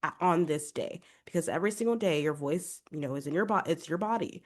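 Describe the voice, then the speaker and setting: A woman's voice: low-pitched, lively intonation, a little fast. A young adult woman, a vocal coach, giving chatty, encouraging podcast advice with a congested voice. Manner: chatty, encouraging, fillers like "like" and "right?".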